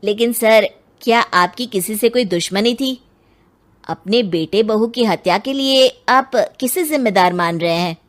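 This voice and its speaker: Earnest inspector for a cartoon crime story, female performer, formal questioning, persistent yet respectful, medium pitch. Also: unhurried, natural voice. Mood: earnest, inquiring. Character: earnest, persistent male police inspector investigating. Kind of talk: dramatised moral story dialogue.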